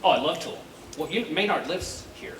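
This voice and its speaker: A man chatting casually from the audience in an animated way. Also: fairly high pitch, normal speed, very noisy. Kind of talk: casual chat. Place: convention panel room. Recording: echoey hall, far off, loud crowd.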